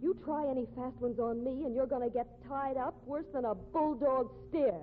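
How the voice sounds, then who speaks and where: An actress playing a tough, jealous, scolding fiancée of a cowboy in a 1950s radio drama, with American accent and blunt folksy slang. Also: female voice, high-pitched, normal speed, narrow, tinny audio, faint music behind, natural voice. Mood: threatening. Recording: thin, noisy vintage radio recording.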